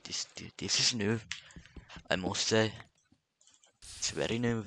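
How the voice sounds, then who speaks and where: A man's voice: lowish voice, slow pace, noisy background. A man from Finland with a Finnish accent and Finnish as his first language, talking casually to camera in a solo vlog, stammering and repeating words as he goes. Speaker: vlogger.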